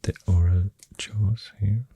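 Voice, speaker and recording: A very soft, deep ASMR voice with a Swedish accent, almost all breath and whisper. The delivery is slow and flat in melody, gently rambling with pauses, right up close to the microphone. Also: husky, dry recording. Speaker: ASMR host. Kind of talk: soft-spoken ASMR, rambling facts while drawing. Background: pencil writing and clicking sounds.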